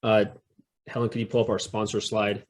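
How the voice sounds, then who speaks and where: A man's voice: fairly low pitch, level tone, a little fast. A man hosting a community interview, talking with many fillers in a friendly, curious way. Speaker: interview host. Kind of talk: community event introduction and interview.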